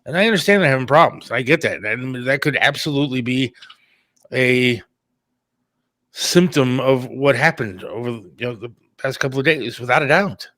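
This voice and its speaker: A man hosting a talk show in casual conversation, with hesitant, halting phrasing. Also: medium pitch, fairly bright tone, dry recording. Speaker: talk show host, radio producer.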